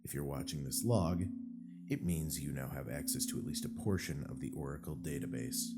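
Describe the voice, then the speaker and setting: A man playing a formal, clinical scientist who directs a secret facility, narrating a scripted briefing log in a measured way. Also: rumbling low. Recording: guitar music behind the voice. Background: plucked guitar music.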